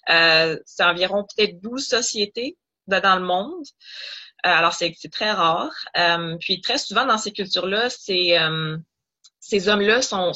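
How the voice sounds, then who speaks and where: A woman's voice: lowish voice, pushed and bright, lo-fi sound. A young woman from Ottawa, a doctoral student guest in an interview, explaining at length with many fillers. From Ottawa, Canada.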